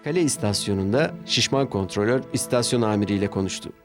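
A man's voice: on the low side, animated, quick pace. A man narrating a scripted children's story as the storyteller. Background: background music.

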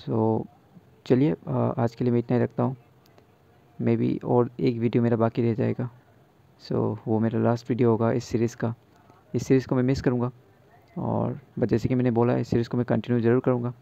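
A man's voice: medium pitch, level tone, normal speed, vocal fry, mellow, dull audio. A young man in a casual daily vlog, chatting tiredly at home with fillers and English words mixed in, speaking into earphone mics. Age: young adult. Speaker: vlogger. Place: small room at home. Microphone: wired earphone mic.

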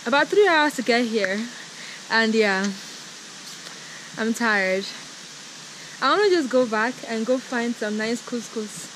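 A woman's voice: on the high side, expressive, slow, pauses often, noisy background. A young woman vlogging a mountain hike outdoors, chatty and out of breath, filmed on a handheld camera at arm's length. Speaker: travel vlogger. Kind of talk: casual travel vlog. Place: outdoors on a mountain trail by a waterfall. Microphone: camera held at arm's length.

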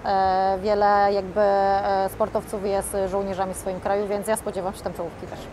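A woman in her thirties, a boxer, answering interview questions in a calm, measured way that trails off softly. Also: medium pitch. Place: outdoors by a glass building. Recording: outdoor city noise behind.

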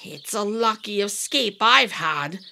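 Storybook old man, acted by a woman: kindly humble harper, unhurried, earnest, expressive pitch. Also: gravelly, full character voice. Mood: relieved, amazed.

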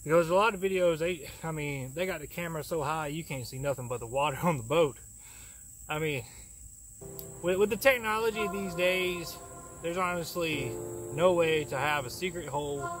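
A man's voice: a little high, normal speed, a bit bright. A young man, giving fishing tips in a chatty, folksy, rambling way, recorded outdoors on a close camera. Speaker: fishing channel host. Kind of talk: casual fishing tips vlog. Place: outdoors in a leafy backyard. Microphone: camera close at arm's length.

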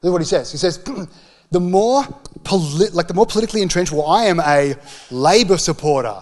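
A man in his forties with an Australian accent, preaching a conversational sermon into a handheld microphone with emphatic, deliberate phrasing. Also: high-pitched, lively intonation. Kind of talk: sermon, conversational public teaching. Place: church hall with stage.

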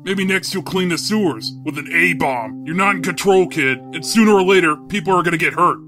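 An actor playing an intense, earnest police detective in a comic book audio drama, with an urgent, no-nonsense manner. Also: male voice, high-pitched, lively intonation, brisk, bright, forceful tone. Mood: stern, pleading. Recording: music under the voice.

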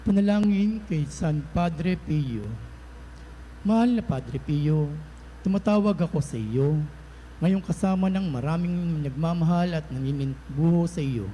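A man recites a devotional prayer slowly and solemnly. His voice is a little high but mellow and warm in tone. A faint room ambience lingers behind him. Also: slight background noise. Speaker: prayer leader. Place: church.

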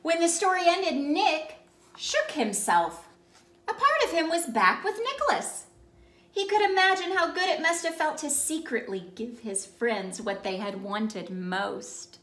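A woman in her thirties reading a storybook aloud to children in an animated, expressive way with emphatic phrasing. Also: high voice, unhurried. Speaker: children's ministry read-aloud host. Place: small indoor room by a Christmas tree. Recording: roomy indoor echo.

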